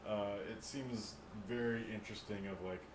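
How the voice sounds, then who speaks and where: A man's voice: on the low side, fairly even intonation, moderate pace. A man on a podcast panel, chatting casually and explaining films in an earnest, rambling way. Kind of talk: casual chat about films. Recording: echoey room, clear background noise.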